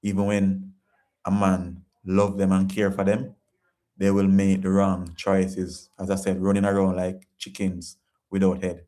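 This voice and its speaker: A man's voice: sits low, completely flat delivery, a little slow, warm tone. A Jamaican man hosting a casual live-stream chat, reading viewer comments and talking in an earnest, repetitive, preachy way.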